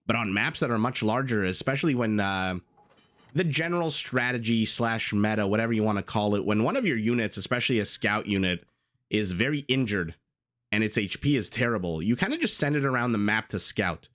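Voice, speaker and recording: A man with a raspy voice casting a strategy game match in an excitable, chatty way, full of asides and exclamations, into a desktop condenser mic. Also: fairly low pitch, fairly quick. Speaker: game caster. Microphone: desktop USB condenser mic.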